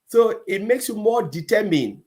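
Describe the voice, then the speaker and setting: Halting man with a slightly high voice. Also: animated, a little mellow. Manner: halting, many fillers and restarts. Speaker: host. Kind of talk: closing remarks at a gathering.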